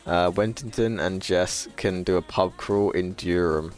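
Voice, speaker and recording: A man's voice: fairly even intonation, unhurried, faint music behind. A man in his twenties, chatting casually and profanely to a live stream audience, rambling and laughing often. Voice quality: low voice. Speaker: livestreamer.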